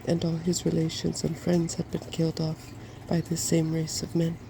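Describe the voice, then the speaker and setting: Softspoken, creaky, very even ASMR reader. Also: mid-range voice, normal speed. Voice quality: soft-spoken. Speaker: ASMR host and storybook reader. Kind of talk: softspoken ASMR fairy tale reading. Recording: clearly noisy background.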